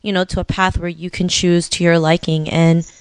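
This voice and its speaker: Female podcast host, voice gone rough from losing it, smooth tone in a dry room. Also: on the low side, flat delivery, moderate pace, dry recording. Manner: casual, with many "you know" fillers. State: has lost her voice. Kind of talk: podcast interview.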